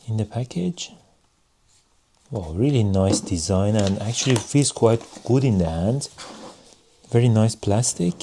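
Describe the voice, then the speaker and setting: A man giving a casual hands-on product review in measured, non-native English phrasing. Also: lowish voice, background noise. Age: adult.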